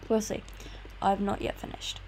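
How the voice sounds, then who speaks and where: A woman's voice: normal speed, a little mellow, a little hiss or hum, rough recording. A tired woman with a congested sore throat gives an informal, meandering tour commentary on a video game. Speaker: gaming video host. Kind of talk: casual gameplay tour commentary.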